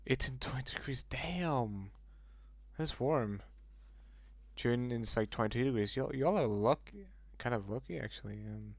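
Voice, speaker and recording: A man's voice: mid-range voice, gravelly, dry recording. A man casually chatting with viewers on a livestream, reacting aloud to messages and swearing now and then.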